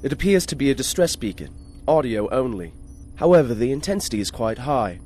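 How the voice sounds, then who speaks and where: Shipboard AI for a fifties-style radio serial male actor: mid-pitched, deadpan, politely sarcastic, faint music underneath. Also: a little fast, natural voice. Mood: matter-of-fact. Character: passive-aggressive male shipboard artificial intelligence, dryly obedient. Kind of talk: 1950s space radio serial acting.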